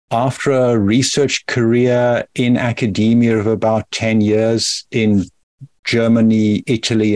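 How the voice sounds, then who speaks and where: A middle-aged man from South Africa, a podcast guest who explains his path in a measured, thoughtful way. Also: mid-range voice, darkish tone, no echo. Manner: measured, thoughtful, careful phrasing. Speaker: guest, company founder. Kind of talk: podcast interview.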